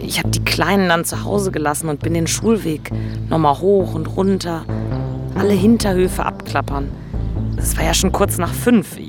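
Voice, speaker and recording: A woman's voice: on the low side, normal speed, close to an everyday voice. Frayed, embittered mother acted by a woman, music behind. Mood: weary recounting. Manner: colloquial, blunt, embittered. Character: frayed, defensive working-class mother of three. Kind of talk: naturalistic radio drama acting.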